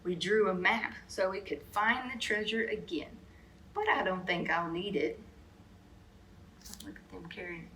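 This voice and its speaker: A woman's voice: mid-range voice, a little slow, pauses often, fairly bright tone, a little hiss or hum. A woman storyteller reading a picture book aloud expressively, slipping in brief asides to young listeners. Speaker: children's storyteller.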